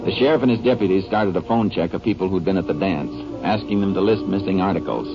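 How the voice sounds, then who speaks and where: An American actor playing a steady, businesslike Texas Ranger in an old radio drama. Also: male voice, low voice, fast talker, music in the background. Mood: narrating, measured. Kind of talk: 1950s radio drama acting and narration. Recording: noisy, muffled old-time radio recording.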